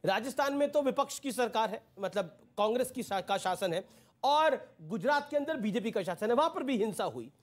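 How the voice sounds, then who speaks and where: Very high-pitched insistent male debate host. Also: animated, brisk. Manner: insistent, repeats questions and instructions. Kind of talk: live TV debate moderation.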